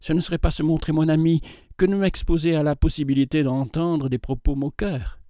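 A man's voice: on the high side, steady tone, a little fast, warm tone, dry recording, clean studio sound, close to an everyday voice. A man voicing a timid, aging spinster schoolteacher who speaks in a flustered, pleading way. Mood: anxious.

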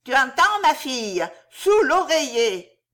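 A woman voicing a very old, half-blind, mean village witch who yelps, bosses and chants spells slowly in an audiobook reading. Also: sing-song, fairly bright tone, exaggerated voice. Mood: bossy. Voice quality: shrill, yelping.

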